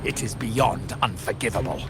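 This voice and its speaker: A man playing a grave, vengeful member of a crime family in a video game, speaking formally and with measured weight. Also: on the low side, very noisy, natural voice. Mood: grim. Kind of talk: dramatic video game voice acting. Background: game music.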